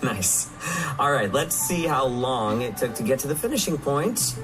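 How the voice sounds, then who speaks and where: Male game livestream co-host, animated melody to the speech, playful, easygoing scripted banter, music behind. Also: medium pitch, moderate pace.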